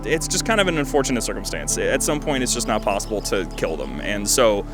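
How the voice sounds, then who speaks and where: A man's voice: lowish voice, very animated, brisk, over music. A man giving casual, joking commentary over gameplay, rambling and slangy with a self-deprecating manner. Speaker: video game reviewer and host.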